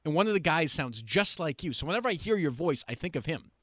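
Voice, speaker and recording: A man in his forties hosting an interview, teasing his guest with rambling, animated questions. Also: fairly high pitch, quick pace, dry recording. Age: 40s. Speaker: host. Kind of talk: casual interview chat.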